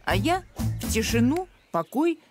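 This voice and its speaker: A woman's voice: high voice, big pitch swings, measured pace, music in the background. An actress playing a bossy, theatrical middle-aged mother in a cartoon, with a haughty, commanding way of talking. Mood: grand, haughty. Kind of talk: animated cartoon acting.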